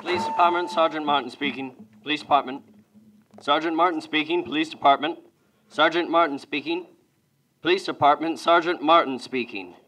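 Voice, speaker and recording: A man's voice: a little high, a little fast, a bit bright, natural voice, rough recording. A man playing a businesslike police sergeant answering a phone in a clipped, official way, 1940s radio style.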